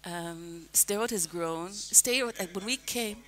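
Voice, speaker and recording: An older woman from the United States answering questions in a calm, measured, earnest way on a radio interview, speaking into a headset mic in a broadcast booth. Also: mid-range voice, slight background noise. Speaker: guest, resident.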